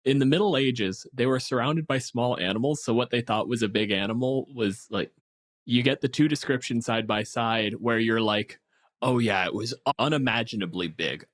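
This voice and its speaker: A man with a medium-pitched voice talks casually about old lore. His delivery is wry and explanatory, with some casual swearing. The recording is dry and free of room sound. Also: moderate pace, no echo. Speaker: podcast co-host. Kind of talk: casual discussion of monster lore.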